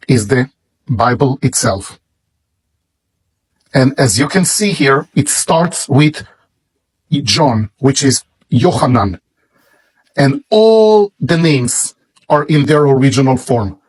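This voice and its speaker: A man with a Hebrew accent, a native Hebrew speaker, presenting and explaining in English with deliberate, halting phrasing in short pieces and a husky voice. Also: medium pitch, no echo. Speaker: presenter showing a book. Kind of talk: slow explanatory product presentation with read-out verses.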